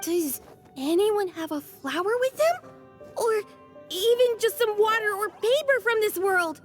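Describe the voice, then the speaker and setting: A shy young dragon, with a very high, slow voice. The delivery is halting and anxious, as for a remorseful little game creature finding its place, with faint music behind. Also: female voice, lively intonation. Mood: timid, unsure. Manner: hesitant, halting. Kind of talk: video game voice acting.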